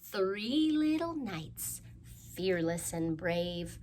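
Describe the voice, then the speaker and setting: A woman's voice: on the low side, sing-song, extremely slow, darkish tone, slight background noise. A woman hosting a children's storytime, leading rhymes and crafts in a playful, encouraging manner and inviting young listeners to join in.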